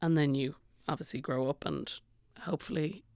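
Irish stage performer, casual reflective guest, crisp voice, mid pitch. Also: moderate pace, no room sound, clean studio sound. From Ireland. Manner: reflective, chatty, shifts between soft and lively. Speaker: guest, theatre performer and playwright. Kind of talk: casual podcast conversation.

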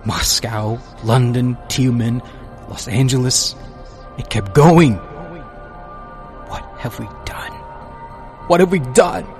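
A man playing an anguished astronaut recording a halting log in an audio drama, grieving and horrified. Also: medium pitch, darkish tone, lightly acted. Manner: halting, repeats himself.

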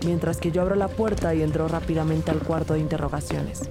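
Determined woman detective narrating over loud music. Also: deep voice, steady tone, fast. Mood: suspenseful. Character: determined female police detective narrating her own investigation. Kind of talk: scripted audio drama narration. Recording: loud music bed behind voice.

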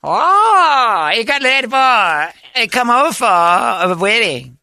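A man putting on a halting broken-English accent caricature, squealing and stammering. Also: extremely high pitch, big pitch swings, bright, forceful tone, no room sound, clean studio sound, voice pushed up, heavily acted. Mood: excited.

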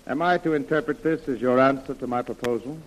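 An actor playing an urbane, earnest army major in a 1930s radio serial, speaking insistently. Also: male voice, mid-range voice, quick pace, slight background noise, lightly acted, low-quality recording.

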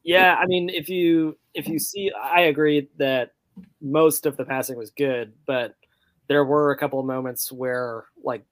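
Male podcast panelist, average pitch, informal and slightly tentative sports talk, dry close sound. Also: fairly even intonation, unhurried, a bit bright, no room sound, rough recording. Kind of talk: casual sports chat.

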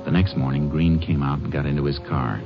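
Deep-voiced, commanding Texas Ranger. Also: male voice, fairly even intonation, a little fast. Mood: measured narration. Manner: clipped, authoritative. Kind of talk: 1950s radio drama acting and narration. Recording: muffled vintage radio recording, music behind.

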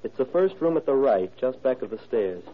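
An actor playing a murdered man's young son, halting, plainspoken and slightly uneasy, in a 1940s radio drama. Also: mid-range voice, very animated, slight background noise, close to an everyday voice. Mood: cooperative. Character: hesitant, cooperative young son of a murdered man. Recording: narrow, muffled old radio recording.

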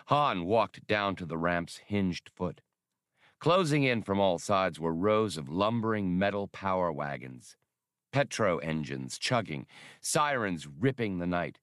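Male audiobook narrator, expressive scripted delivery, lively intonation, dry close sound. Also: sits low, no echo.

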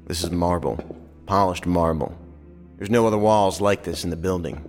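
A blunt, impatient agent with a deep voice in a sci-fi audio drama. He is terse and needling. Music plays behind the voice. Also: steady tone, normal speed, darkish tone. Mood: puzzled. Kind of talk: science fiction audio drama acting.

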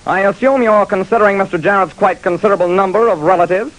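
A man playing a formal estate lawyer who speaks in precise, measured phrases in an old-time radio drama. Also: sits high, fairly bright tone, low-quality recording. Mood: grave, polite. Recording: faintly hissy vintage radio recording.

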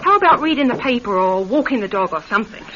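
Brisk, sharp-voiced bossy cook. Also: female voice, a little high, lively intonation. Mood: bossy, defiant. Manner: brisk, officious. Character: bossy, brusque household cook, defiant, officious. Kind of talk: vintage-style radio drama acting. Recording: muffled, noisy radio drama recording.

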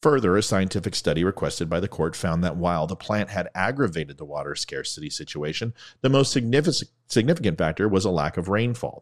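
A man reading out facts in a commentary video, adding offhand jokey asides and stumbling over foreign names. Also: low-pitched.

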